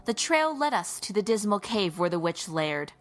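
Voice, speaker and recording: A woman's voice: mid-range voice, big pitch swings, normal speed, faint music behind, natural voice. A woman playing a stern, defiant holy warrior in a fantasy audio drama, speaking formally and resolutely. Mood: solemn, resolute.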